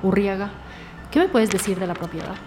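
An actress playing a commanding lead detective who questions with formal authority in an audio drama. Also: female voice, mid-range voice, animated, fairly quick, music in the background, natural voice. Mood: authoritative. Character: commanding, probing woman lead detective and boss. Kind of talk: scripted audio drama dialogue.

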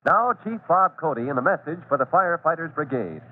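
A man's voice: a little high, animated, brisk. A man narrating an old radio serial as its announcer, reading scripted copy. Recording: tinny, noisy vintage radio recording.

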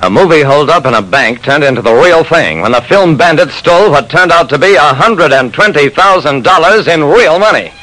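A man's voice: fairly high pitch, lively intonation, normal speed, hardly any pauses, bright, forceful tone, a little hiss or hum, low-quality recording. A man narrating a classic radio detective drama as its lead, in a wry, dramatic first-person storytelling manner.

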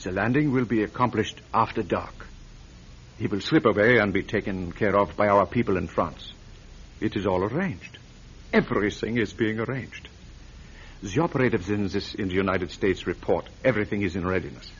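An actor playing a scheming German intelligence major, smooth and coaxing, in a 1940s radio drama. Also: male voice, fairly low pitch, normal speed, a little hiss or hum, lightly acted. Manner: smooth, coaxing, quietly fanatical. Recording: dull lo-fi old radio recording.

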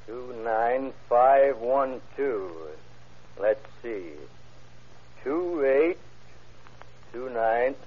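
A methodical police examiner in a vintage radio crime play. He has a husky voice and a careful, deliberate manner. The sound is muffled. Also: medium pitch. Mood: careful, musing. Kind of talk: old-time radio crime drama acting.

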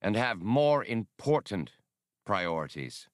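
Gentle-mannered male alien scholar , acted by a male narrator: formal, solemn audiobook dialogue, animated intonation, dry sound. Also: fairly low pitch, no room sound. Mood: solemn, explaining. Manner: formal, measured, earnest. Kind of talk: audiobook character reading.

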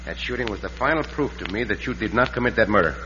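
An actor playing a calm, gallant Mexican adventurer in a radio western, with a courteous, commanding, deliberate delivery and a Mexican accent. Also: male voice, fairly low pitch. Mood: resolute. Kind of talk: 1950s radio western acting. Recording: muffled, noisy, reverberant old radio recording.

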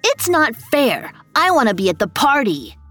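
A woman voicing a naughty, high-strung little boy in a whiny, protesting storybook manner. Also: sing-song, normal speed, a bit bright, soft background music, full character voice. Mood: sulky.

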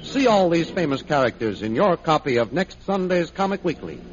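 Casting: male old-time radio announcer, higher voice, lively swooping emphasis, theatrical promotional read. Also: moderate pace. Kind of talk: 1930s radio announcing, dramatic scripted promotion. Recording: dull old radio recording. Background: loud music.

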